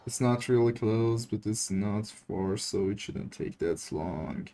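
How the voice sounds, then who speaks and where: A man's voice: lowish voice, takes it slowly, dark tone, slight background noise. A man giving casual gameplay commentary, thinking aloud hesitantly and repeating himself. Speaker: gaming streamer.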